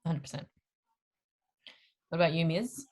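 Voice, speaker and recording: A woman's voice: low voice, animated, a little slow, darkish tone. A woman in her twenties with an Australian accent, talking casually as she co-hosts a podcast. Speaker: podcast co-host. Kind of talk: casual group chat.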